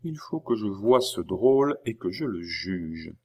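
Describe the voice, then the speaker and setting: A man voicing a calm, mocking gentleman stranger, politely ironic, in a storybook reading. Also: on the low side, unhurried. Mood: unconcerned.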